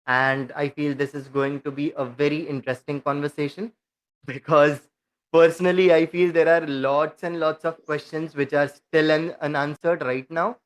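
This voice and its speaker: Earnest, slightly high-voiced podcast host. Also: male voice, flat delivery, moderate pace, low-quality recording. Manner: earnest, repetitive phrasing, eager. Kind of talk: podcast hosting and interviewing.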